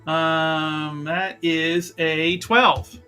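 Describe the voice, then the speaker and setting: A man casually narrating his character's actions as a tabletop roleplaying player, in an animated, halting, jokey way. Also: a little high, clear, resonant tone, faint music behind.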